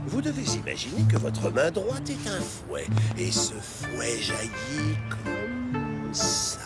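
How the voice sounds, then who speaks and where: A man's voice: a little high, huge pitch swings, exaggerated voice. An actor playing a kindly old grandfather who teaches tai chi patiently in a children's cartoon. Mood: patient, instructive. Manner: patient, measured, instructive. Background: music.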